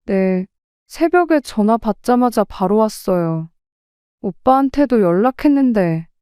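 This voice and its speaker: A woman's voice: fairly high pitch, lively intonation, a little slow, mellow, smooth, dry recording, clean studio sound. A woman playing an earnest, protective daughter. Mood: earnest, worried. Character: devoted adult daughter, worried and indignant. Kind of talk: audio drama acting.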